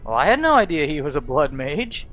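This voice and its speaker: A man's voice: fairly high pitch, very animated, brisk, a bit bright. A man role-playing over a video game, giving tentative thoughts and reactions. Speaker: gamer, role-player. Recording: dull voice-over with game music. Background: faint game music.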